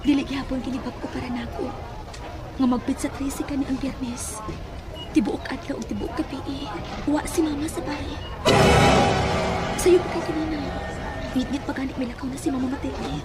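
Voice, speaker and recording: A woman's voice: high-pitched, fairly even intonation, moderate pace, long pauses, over loud music, natural voice. A woman playing an anxious young daughter who mistrusts her mother in a horror audio drama. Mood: uneasy.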